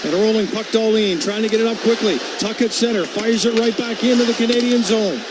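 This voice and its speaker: A man's voice: high voice, fairly quick. A man calling live hockey play-by-play for radio over arena noise. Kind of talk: live radio sports commentary. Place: arena broadcast position. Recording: loud arena noise behind voice. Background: hockey arena crowd.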